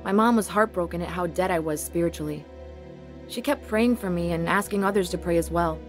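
A woman's voice: fairly low pitch, fairly quick, close to an everyday voice. An actress playing a rebellious, angry young woman in a first-person radio drama, both narrating and acting. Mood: reflective. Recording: music bed behind the voice. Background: music.

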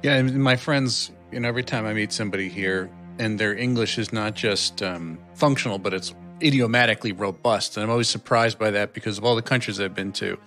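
A man's voice: on the low side, a little fast, few pauses, fairly bright tone, faint music behind. A man hosting a podcast, asking rambling questions in a casual, joking way. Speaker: podcast host. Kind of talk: casual conversational interview.

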